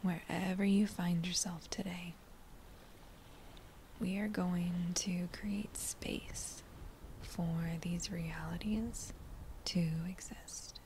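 Hushed, very slow woman guiding meditation. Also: fairly low pitch, steady tone, a little hiss or hum. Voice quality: breathy, hushed, near-whispered. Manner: gentle, unhurried, with short phrases and pauses. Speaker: meditation guide. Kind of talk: slow guided meditation narration.

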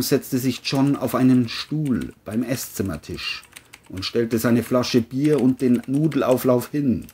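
A woman's voice: a bass voice, warm tone, slightly rough. A woman reading scripted narration for a crime audio story.

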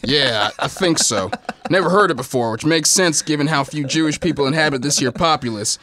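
A man's voice: a little high, brisk, fairly bright tone, close to an everyday voice. A man voicing a blustering, dim western villain who slings insults with awkward self-awareness in a comedic role-play. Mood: musing.

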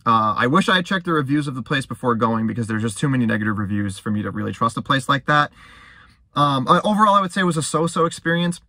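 Bright-voiced young man chatting to camera. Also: mid-range voice, fast, no room sound, studio quality. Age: young adult. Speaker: car owner vlogger. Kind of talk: casual talk to camera. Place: inside a parked car.

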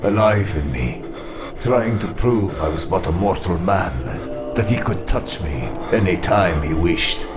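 A man playing a cold, imperious armored villain who narrates his origins in a grandiose, solemn, deliberate way for a motion comic. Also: a bass voice, full character voice. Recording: loud music underneath.

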